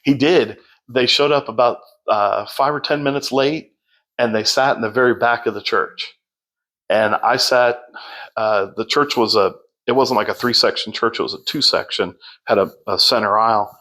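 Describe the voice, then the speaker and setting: A man telling his story as a podcast guest in a loud, projecting voice, unhurried, with many fillers and restarts. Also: medium pitch. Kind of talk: casual storytelling.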